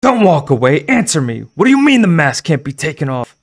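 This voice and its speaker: A man voicing a frightened young girl trapped in a monster mask, with a raspy, shrill, desperate voice. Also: normal speed, a little mellow, lightly acted. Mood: shouting.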